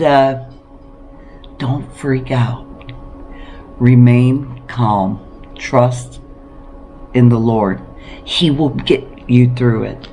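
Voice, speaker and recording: A woman talking casually to camera about practical living as a homesteading vlogger, encouraging and emphatic, with deliberate pauses. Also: low voice. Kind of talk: casual preparedness talk to camera.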